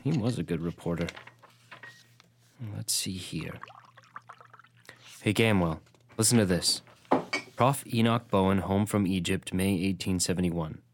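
A man's voice: deep voice, a little slow, pauses often, background noise. An actor playing a shaken, earnest young man in a radio drama, anxious and intense. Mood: troubled, intrigued.

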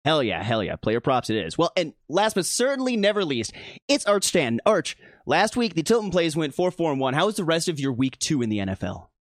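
A man's voice: fairly high pitch, big pitch swings, fairly quick, no echo, studio quality. A man hosting a sports betting show, chatting casually with informal, slangy banter. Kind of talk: casual panel chat, introducing co-hosts.

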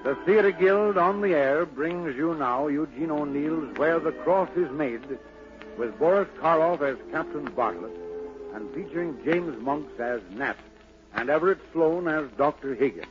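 A man's voice: fairly high pitch, a little slow, music in the background. A man announcing a drama programme formally, in the scripted style of 1940s radio. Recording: muffled, noisy 1940s radio recording.

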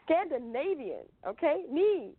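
A woman chatting casually on a podcast, animated and exclamatory, who repeats herself for emphasis. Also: sits high, measured pace, no echo. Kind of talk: casual chat. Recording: tinny phone-line sound.

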